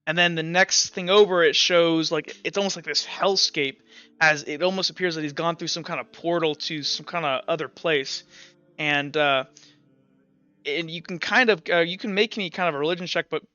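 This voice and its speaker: A man acting as game master, improvising tabletop narration in halting descriptions full of fillers and repeats. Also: fairly high pitch, sharp tone, clear, resonant tone, no echo. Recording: muffled lo-fi mic with music. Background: Latin chant music.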